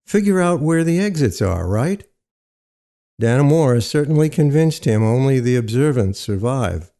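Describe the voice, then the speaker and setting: Mellow male fiction narrator, measured delivery. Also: mid-range voice, big pitch swings. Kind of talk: literary audio fiction narration.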